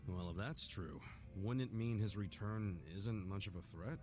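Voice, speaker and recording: An actor playing an earnest, blunt, big-hearted tough-guy hero in a video game, with a gruff, hearty voice. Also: fairly low pitch, moderate pace, over music, natural voice. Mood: questioning, serious. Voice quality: gruff, gravelly. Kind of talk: video game cutscene acting.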